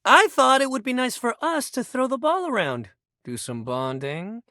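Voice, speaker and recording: Hugely animated friendly jock bro. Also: male voice, very high-pitched, moderate pace, no room sound, studio quality, exaggerated voice. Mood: hurt, pleading. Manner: casual bro-like talk, emphatic and expressive. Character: upbeat, friendly, sensitive young male sports jock. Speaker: video game voice actor. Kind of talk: video game character dialogue.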